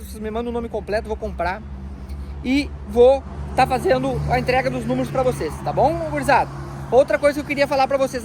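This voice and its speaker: A man's voice: very high voice, a little hiss or hum. A man, a truck shop owner chatting casually to his followers and repeating friendly tag phrases. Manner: chatty, repeats "tá bom, meus amigão". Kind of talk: casual promo talk to followers.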